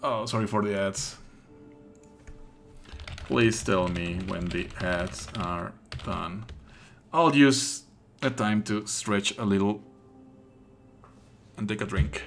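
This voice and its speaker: A man live-streaming casual commentary on a game playthrough, sardonic, exasperated and quick to swear. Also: on the low side, fairly bright tone, faint music behind.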